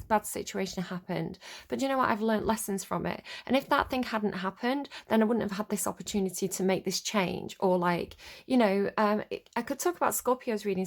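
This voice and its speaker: A woman with a sniffly, stuffed-up voice giving a casual tarot reading, rambling and searching for words with many ums and likes. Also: mid-range voice. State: sniffly from a cold. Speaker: tarot reader.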